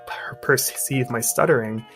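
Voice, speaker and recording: A man's voice: mid-range voice, a little slow, vocal fry, fairly bright tone. Young man who stutters, guitar behind. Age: 20s. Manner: stutters with repetitions and blocks. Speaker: speech-language pathologist and YouTuber who stutters. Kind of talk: personal recorded testimony.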